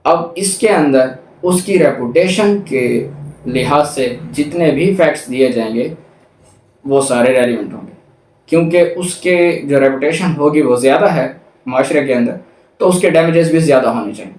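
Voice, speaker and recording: A Pakistani man speaks as a lecturer in an echoey room. His voice sits a little high for a man. He explains didactically, listing and repeating each point. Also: normal speed, darkish tone, a little hiss or hum. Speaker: law lecturer. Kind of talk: explanatory lecture, reading out statutes and explaining them. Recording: echoey, reverberant room sound.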